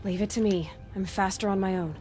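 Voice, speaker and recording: Young female hunter, played by an actress, mid-pitched, self-reliant and matter-of-fact, cinematic game with score behind. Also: steady tone, fairly quick, warm tone, soft background music, close to an everyday voice. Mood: guarded, firm. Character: determined, self-reliant young huntress, cool and observant. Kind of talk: video game voice acting.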